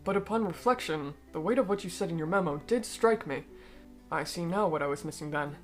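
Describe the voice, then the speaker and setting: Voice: medium pitch, faint music behind, natural voice. A performer in a fan dub voicing a cold, aristocratic alien noble who speaks formally and eloquently, bitter yet persuasive. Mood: measured, insistent. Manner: formal, eloquent, measured speech. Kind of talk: fan-dub voice acting of comic dialogue.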